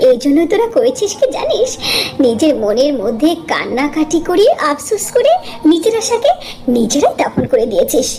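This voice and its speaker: A woman's voice: high-pitched, very animated, normal speed, mellow. A woman playing a haughty young rich woman in a cartoon, taunting the poor with sneering mock pity. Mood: gloating. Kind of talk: animated story voice acting.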